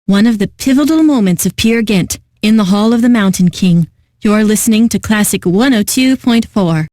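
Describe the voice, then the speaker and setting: A woman's voice: mid-range voice, moderate pace, dark tone, no echo, clean studio sound, close to an everyday voice. Woman acting a genteel, lovelorn classical radio DJ. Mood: poised, informative. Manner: genteel, poised announcing with wistful, theatrical asides. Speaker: classical music radio host. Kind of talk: scripted radio DJ announcing with comic drama.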